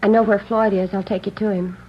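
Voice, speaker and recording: A woman's voice: mid-range voice, little pitch movement, a little fast, soft background music, lightly acted. An actress playing a sorrowful young woman in a radio drama, speaking in short, reluctant sentences. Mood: subdued, sad. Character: subdued young woman, sorrowful sister of a fugitive. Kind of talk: 1950s radio drama acting. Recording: muffled old radio, noisy background.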